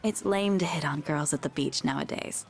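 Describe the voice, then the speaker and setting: A woman's voice: low voice, normal speed, creaky, faint music behind. An actress voicing an aloof, prickly young working woman in a video game, with a blunt, dismissive manner. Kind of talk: English video game voice acting.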